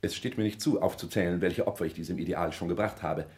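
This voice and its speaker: An actor playing an ambitious bourgeois social climber in a 1960s German radio drama, solemn and fawning, with formal, oratorical delivery. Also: male voice, low-pitched, fast, darkish tone, close to an everyday voice. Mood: fervent, solemn.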